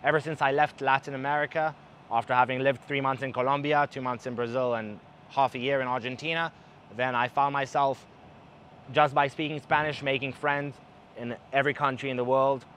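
A young man with a mixed accent that is partly South African talks straight to the camera in a relaxed, animated way, moving his hands as he speaks. His voice sits at an ordinary middle pitch. Faint city noise hums behind him on an outdoor balcony at night. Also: a little fast. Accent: mixed English, partly South African. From Israel. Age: young adult. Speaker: travel vlogger. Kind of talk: casual talk to camera.

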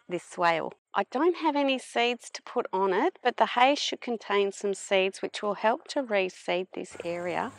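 A middle-aged woman narrating a farm vlog in a plain-spoken, unhurried explaining manner. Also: medium pitch, no room sound. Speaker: vlogger, permaculture farmer.

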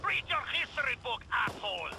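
Swooping, furious mob boss. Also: male voice, very high-pitched, sing-song, fairly quick, very noisy. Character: menacing, boastful Russian mob boss, explosive temper. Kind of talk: video game voice acting. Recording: buried under loud gunfire.

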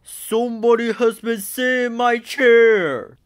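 A great big storybook bear, acted out by a man, roaring his indignation in drawn-out words. The voice is raised high and loud with outrage. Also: fairly even intonation. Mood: indignant, loud.

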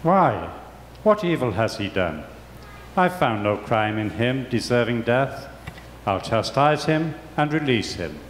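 An older man giving a deliberate, declamatory dramatic reading of scripture in a large church. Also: medium pitch, big pitch swings. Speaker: reader in a church Passion reading. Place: large church, before a congregation. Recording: very echoey church, faint noise.

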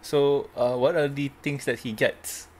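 A man's voice: mid-range voice, steady tone, measured pace. A man playing in an online tabletop game, teasing the others. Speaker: player.

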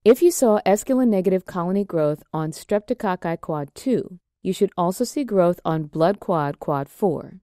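A woman giving scripted, step-by-step instructional narration in a steady, measured way. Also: on the low side, no room sound, studio quality. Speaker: extension educator.

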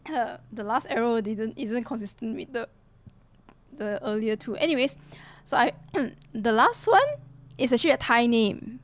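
A young woman in her twenties streaming from a small room into a desk mic, chatting casually with viewers in a slightly hoarse voice. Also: fairly high pitch. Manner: chatty, greets viewers, many fillers. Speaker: livestreamer. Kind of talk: casual gaming stream chat. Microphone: desk mic in front of her. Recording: music playing behind the voice.

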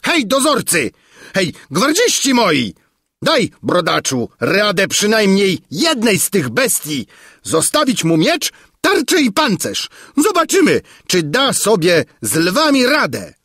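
A man voicing a cruel, imperious tyrant king from a storybook, shouting in theatrical outbursts. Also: high-pitched, very animated, measured pace, few pauses, no echo, studio quality, pitched above the performer's own voice, full character voice. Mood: roaring fury. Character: raging tyrant king, shrill, gloating and cruel. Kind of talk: theatrical fairy-tale storybook reading.

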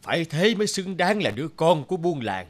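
A man's voice: fairly high pitch, sing-song, brisk. A man voicing a wise old village elder. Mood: solemn. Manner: solemn, ceremonial speech. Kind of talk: cartoon voice acting. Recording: voice over loud music.

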